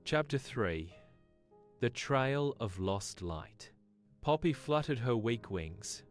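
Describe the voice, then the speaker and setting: A woman's voice: a bass voice, expressive, slow pace, faint music behind. A woman narrating a scripted bedtime story for children.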